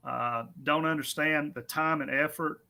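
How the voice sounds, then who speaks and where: A man's voice: fairly high pitch, steady tone, unhurried, vocal fry, bright, forceful tone. A man, a guest talking about his racetrack in an easygoing, self-deprecating, friendly way. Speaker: drag strip guest. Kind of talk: casual on-air interview.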